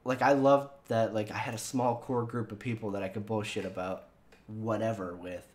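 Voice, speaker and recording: A man's voice: lowish voice, steady tone, normal speed, darkish tone. A man hosting his own channel in casual chat with viewers, rambling and swearing with lots of fillers, his voice sounding younger than he is. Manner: rambling and profane, with many fillers. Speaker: channel host.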